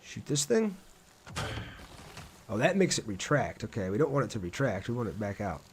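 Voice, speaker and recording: A man's voice: on the low side, sing-song, moderate pace, a little hiss or hum. A man live streaming a game, thinking aloud in an informal way and chatting casually with viewers as he plays.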